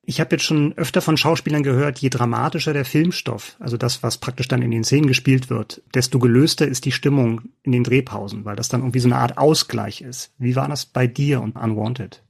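Podcast host guy with a raspy gravelly voice, calm interview questions. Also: mid-range voice. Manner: measured, asks long framed questions. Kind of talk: interview questions and scripted intro.

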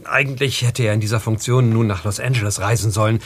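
An actor playing an earnest, anxious young foreign envoy in a German audio drama. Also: male voice, on the low side, fairly even intonation, fast talker. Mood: earnest explaining.

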